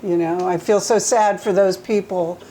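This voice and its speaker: A woman's voice: medium pitch, steady tone, moderate pace, mellow, slight background noise. A woman hosting an interview, asking chatty, leading questions with frequent restarts. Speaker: talk show host. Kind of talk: conversational interview.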